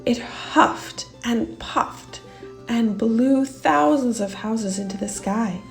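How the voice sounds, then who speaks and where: A woman's voice: a little high, slow, vocal fry, soft background music. A woman voicing an emphatic, affectionate mother in a children's storybook. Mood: dramatic. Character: vivid, affectionate mother of a young girl. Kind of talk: children's storybook character reading.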